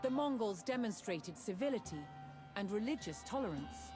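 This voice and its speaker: A woman delivers scripted documentary narration in a measured, dramatic storytelling manner. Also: mid-range voice, expressive. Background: background music.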